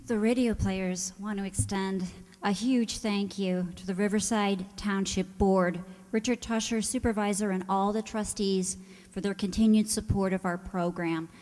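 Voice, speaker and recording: Woman, medium pitch, community stage presenter delivering thanks; long hall reverb. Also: steady tone, normal speed, a little mellow. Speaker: radio players' member giving thanks from the stage. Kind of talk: live thank-you address to an audience. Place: large indoor township auditorium, on stage. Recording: echoey auditorium with long reverb.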